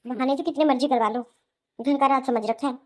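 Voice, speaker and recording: Hectoring cartoon mother-in-law, middle-aged, high and soft-edged, acted by a woman. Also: steady tone, brisk, close to an everyday voice. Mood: scolding, irritated. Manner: sarcastic, accusing, hectoring. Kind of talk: melodramatic Hindi cartoon voice acting.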